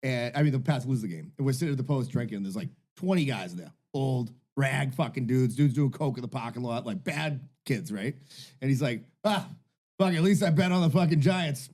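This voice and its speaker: A man's voice: mid-range voice, brisk, a little mellow. A man, co-hosting a podcast, rambling through a story with lots of fillers and swearing. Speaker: podcast co-host. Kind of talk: casual chat.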